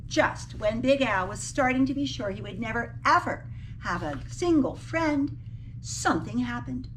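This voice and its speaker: A woman's voice: a little high, lively intonation, measured pace, a bit bright, distant mic, a little hiss or hum, low-quality recording. A woman teacher reading a children's picture book aloud expressively, with dramatic emphasis on key words and little asides to her listeners.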